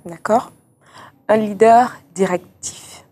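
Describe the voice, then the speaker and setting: A woman hosting an interview, posing structured questions and briskly acknowledging answers. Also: medium pitch, dark tone, breathy edge. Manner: brisk, affirming with short acknowledgements. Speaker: interviewer, show host.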